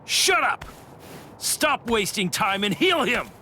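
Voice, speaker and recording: Anime warrior villain. Aggressive, curt, mocking. Forceful bright edge, widely swinging delivery. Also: male voice, mid-range voice, sing-song, measured pace, a little hiss or hum. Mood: furious, impatient. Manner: curt, taunting, bossy. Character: brash, aggressive warrior villain, hot-tempered and predatory. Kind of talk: English anime dub acting.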